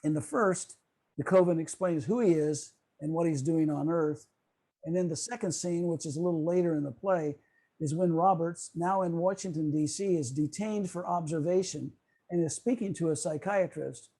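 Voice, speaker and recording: A man giving a prepared, explanatory lecture in a measured way on a video call. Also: a little high, warm tone. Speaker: presenter.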